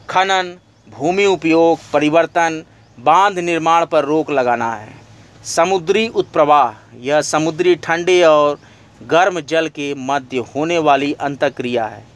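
A man reads through study notes for exam candidates in a steady, list-by-list manner. His voice sits a little higher than most men's. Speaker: exam-prep lecturer. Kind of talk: reading study notes aloud.

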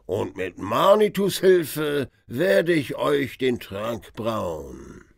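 Kindly village healer for a children's play, male actor, solemn, gravelly, with varied intonation. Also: mid-range voice, very animated, very slow, no echo, studio quality, full character voice.

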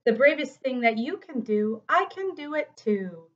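A woman's voice: high voice, animated, measured pace, lo-fi sound, voice pushed up. Woman reading as a boastful storybook bear. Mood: boastful, defiant. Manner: boastful, challenging. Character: proud, defiant storybook bear eager to prove bravery.

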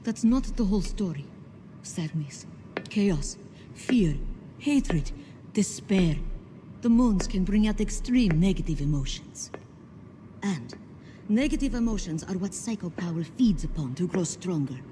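An actress playing a poised, calculating secret organiser in a video game, speaking in a composed, formal and persuasive way. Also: female voice, on the low side, animated, measured pace, long pauses, mellow. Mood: grave, persuasive. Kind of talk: video game cutscene voice acting. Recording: reverberant hall, faint background noise.

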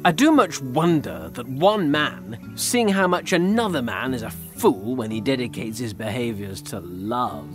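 A man playing a witty, scornful Shakespearean gentleman in a mocking, theatrical classical monologue. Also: mid-range voice.

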